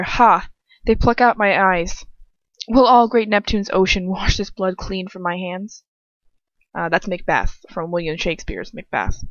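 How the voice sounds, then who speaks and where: A woman's voice: medium pitch, no echo. A woman reading fan fiction aloud in a casual, amateur way, stumbling over words and dropping in chatty, self-deprecating asides. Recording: dull lo-fi home recording.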